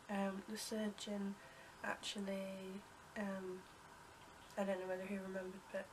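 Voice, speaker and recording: A woman's voice: mid-range voice, completely flat delivery, slow pace, long pauses, darkish tone, slight background noise, poor audio. A young woman with a cold and a blocked nose, telling a story to camera in a rambling, casual way with many ums and restarts. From United Kingdom. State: congested with a cold. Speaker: vlogger.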